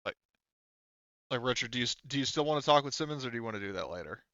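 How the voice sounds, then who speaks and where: A man running a tabletop game as game master, narrating in a hesitant, measured way. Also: mid-range voice, lively intonation, bright, forceful tone, no room sound, muffled recording.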